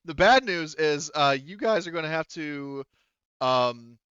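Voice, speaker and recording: A man improvising as game master of a tabletop game, thinking aloud in a halting, casually profane way. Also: a little high, animated, a bit bright, clear, resonant tone, dry recording, dull audio.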